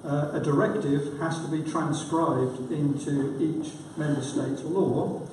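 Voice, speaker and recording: A man with a cold-roughened, croaky voice gives a conference talk in a casual, halting way. His voice sits a little high, and it is captured from a distance in a large hall full of reverb, with faint noise underneath. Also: fairly even intonation, a little mellow. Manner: informal, halting with fillers. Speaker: conference speaker, consultant. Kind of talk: conference presentation. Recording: echoey hall, distant mic.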